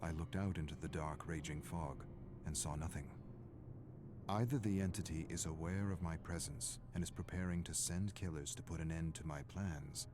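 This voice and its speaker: An actor playing a grave, weary scholarly archivist with a morbid fascination, narrating dark game records in a deliberate, brooding way. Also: male voice, rumbling low. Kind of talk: dramatic scripted game narration. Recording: clear music behind the voice.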